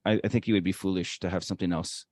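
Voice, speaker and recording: A man's voice: low voice, steady tone, very fast, a little mellow, dry recording. A man co-hosting a conversational interview podcast, talkative and emphatic, with promotional asides. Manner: talkative, emphatic, self-described showman. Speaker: podcast co-host.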